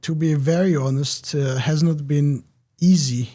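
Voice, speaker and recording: A man giving measured, reflective interview answers, pausing often with "uh" between phrases. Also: fairly high pitch, steady tone, mellow, dry recording. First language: Georgian. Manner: measured, many "uh" pauses. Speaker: interview guest, researcher.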